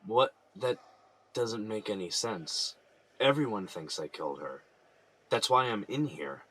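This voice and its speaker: A man's voice: fairly low pitch, expressive, a little slow, fairly bright tone, breathy edge, heavily acted. An American man playing an earnest, troubled prisoner who insists on his innocence, speaking plainly in an audio drama. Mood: troubled, puzzled. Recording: noticeable background ambient noise.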